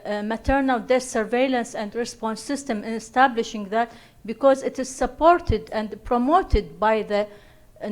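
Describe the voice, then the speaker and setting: A woman giving a spoken reflection from the floor at a conference session. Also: on the high side. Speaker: audience member at a conference.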